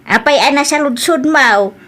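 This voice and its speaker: A woman's voice: sits high, moderate pace, a bit bright. A woman playing a motherly, plain-spoken older village storekeeper in an audio drama, with an Ilocano accent. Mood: chatty, curious.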